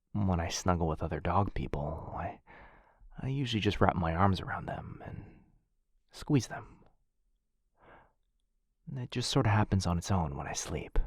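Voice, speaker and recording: A man playing a shy, affectionate young dog-person roommate in a boyfriend ASMR roleplay, speaking in a soft, halting, flustered way with a breathy, husky voice. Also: low voice, dry recording, clean studio sound, exaggerated voice.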